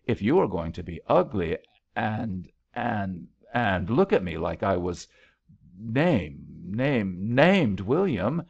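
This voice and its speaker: A man's voice: fairly low pitch, sing-song, slow, gravelly, darkish tone, husky, full character voice. A man voicing a spirited, stubborn young woman in an audiobook love story, eager and direct. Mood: puzzled, indignant. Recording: slightly dull, lo-fi audiobook recording.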